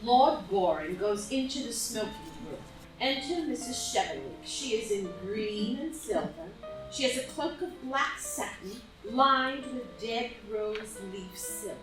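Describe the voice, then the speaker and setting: A woman narrates stage directions in a fairly high, lively voice. The recording is echoey, as at a live play reading, with clear music behind her. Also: measured pace, recorded from a distance. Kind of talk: scripted drama narration.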